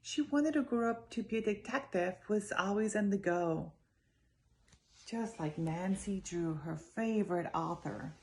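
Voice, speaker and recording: A woman reading a children's story aloud in an expressive, emphatic way, speaking directly to her young listeners. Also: medium pitch, measured pace.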